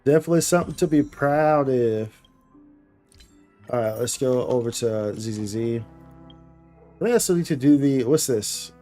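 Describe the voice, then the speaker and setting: A man livestreaming games with a mellow, relaxed voice. He talks in loose, slangy chat full of bro-speak and doubles back on phrases for emphasis, with faint music underneath. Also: mid-range voice, normal speed, pauses often. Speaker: game streamer, host. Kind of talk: casual livestream chat while gaming.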